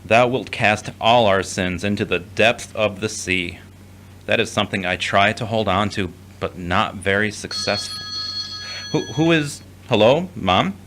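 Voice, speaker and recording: Pious adult son acted by a man, low voice, earnest, hesitant, self-doubting; audio drama. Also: a little slow, fairly bright tone, slight background noise. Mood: disapproving, puzzled.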